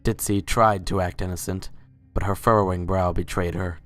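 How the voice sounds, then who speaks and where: A man serves as narrator for a dramatic story reading. His voice is low, and faint music plays beneath the scripted narration. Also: steady tone, moderate pace.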